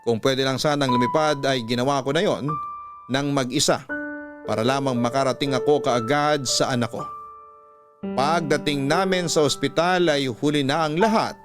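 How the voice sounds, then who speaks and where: A man reading a scripted emotional story in a measured, emotive way, like a radio storyteller. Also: medium pitch, steady tone, over music. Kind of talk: dramatic scripted story narration.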